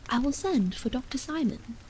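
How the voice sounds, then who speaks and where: A woman's voice: sits high, animated, moderate pace, mellow, slight background noise, pitched above the performer's own voice, low-quality recording. A woman reading aloud and voicing an anxious wife who speaks with insistence and vexation. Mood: vexed. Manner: vexed, insistent, exclamatory.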